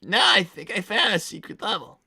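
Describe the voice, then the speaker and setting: A woman voicing a meek, awkward young boy fox from a cartoon, with a hesitant, defensive manner and a raspy boyish voice. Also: mid-range voice, lively intonation, brisk, sharp tone, no room sound, studio quality, heavily acted. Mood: meek, distracted. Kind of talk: improvised in-character gaming livestream banter.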